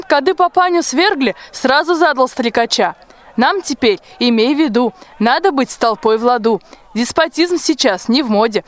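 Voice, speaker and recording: A young woman playing a blunt, scolding old peasant nanny in a rhymed radio play, with folksy sing-song verse. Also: high voice. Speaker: student actor in a school radio play. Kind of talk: rhymed folk-tale radio play acting.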